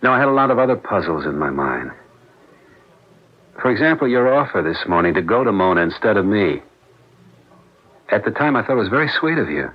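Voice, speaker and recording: An actor playing a suspicious husband who questions his wife in a measured, accusatory manner, in the style of 1970s radio drama. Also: fairly low pitch, big pitch swings, slightly rough. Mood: accusing, controlled. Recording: dull lo-fi 1970s radio broadcast.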